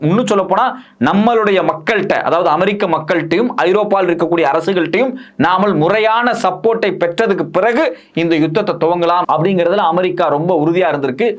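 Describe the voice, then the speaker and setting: A man with an Indian Tamil accent delivers political news commentary in a fairly high-pitched voice. He explains point by point in an emphatic way, repeating key phrases and posing questions that he then answers himself. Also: fast.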